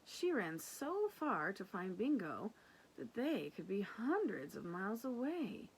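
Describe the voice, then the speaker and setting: Slow, very animated woman reading aloud. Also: mid-range voice, a little hiss or hum, rough recording. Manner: animated, unhurried narration. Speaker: storybook reader. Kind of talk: expressive children's read-aloud with casual asides.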